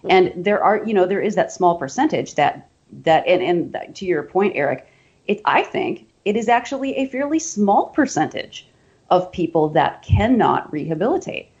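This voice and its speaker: A woman forensic psychologist speaking as a guest on a talk podcast, articulate, measured and emphatic. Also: fairly low pitch, lively intonation, a little mellow, lo-fi sound. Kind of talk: expert discussion.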